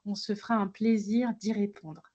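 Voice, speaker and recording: A woman's voice: medium pitch, fairly even intonation, normal speed, darkish tone. A woman moderates an online conference in a spontaneous hosting style, addressing guests and viewers. The audio is a little dull and lo-fi, as on a web call.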